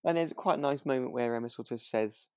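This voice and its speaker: A man's voice: mid-range voice, fast, warm tone, clean tone, dry recording, studio quality. A man chatting casually as a podcast co-host, phrasing his thoughts in a hesitant, thoughtful way. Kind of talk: relaxed casual chat about a radio drama.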